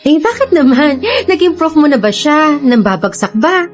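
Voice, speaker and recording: Coy, playful college girl, Filipino radio drama, high-pitched, light music bed. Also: animated, normal speed. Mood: chatty, curious. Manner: teasing, coy. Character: Jamie, playful teasing young female college student.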